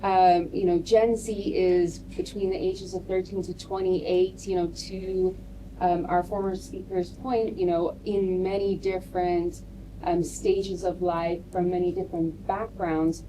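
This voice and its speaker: A woman marketer on a debate panel, speaking in a measured way and leaning on "you know" and "um" as she builds her points. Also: on the low side, level tone, mellow. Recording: distant mic with clear background noise.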